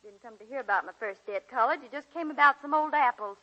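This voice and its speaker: An actress playing a warm, eager small-town schoolteacher in a 1941 radio drama. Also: female voice, high voice, fast talker, muffled recording. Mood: dreamy. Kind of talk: 1940s radio drama acting. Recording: 1941 radio broadcast recording.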